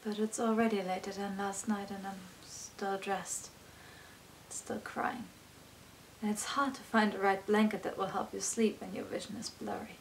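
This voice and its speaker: A woman performing her own poem as a dramatic, earnest spoken-word recital, with expressive delivery. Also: medium pitch, normal speed, creaky, a little hiss or hum, rough recording. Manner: expressive, earnest, swinging between hushed and intense delivery.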